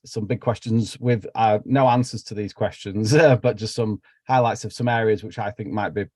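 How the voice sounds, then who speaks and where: A man's voice: lowish voice, darkish tone, dry recording. A man with a broad Yorkshire accent giving an academic seminar talk over a video call, chatty and self-deprecating with many fillers. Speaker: seminar speaker.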